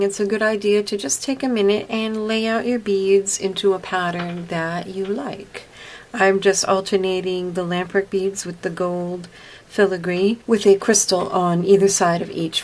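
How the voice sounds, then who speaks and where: A woman's voice: fairly low pitch, a little hiss or hum. A woman narrating a step-by-step craft tutorial, explaining each step plainly and patiently.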